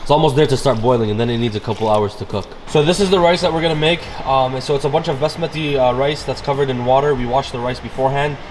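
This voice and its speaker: A man's voice: medium pitch, fairly even intonation, quick pace, hardly any pauses, slight background noise. Upbeat young man narrating cooking. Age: young adult. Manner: upbeat, chatty, gushing. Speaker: cooking vlogger. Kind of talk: casual cooking commentary. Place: large home kitchen.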